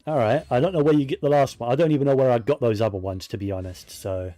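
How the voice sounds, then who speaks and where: A man's voice: mid-range voice, quick pace, warm tone, no echo. A man giving casual video game commentary, musing and thinking aloud to himself as he plays.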